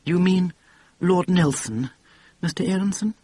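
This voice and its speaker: A man's voice: high voice, a little slow, dark tone, no echo, voice pushed up. A man reading aloud, voicing a tall, refined young man who corrects his boss with prim deference. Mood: deferential.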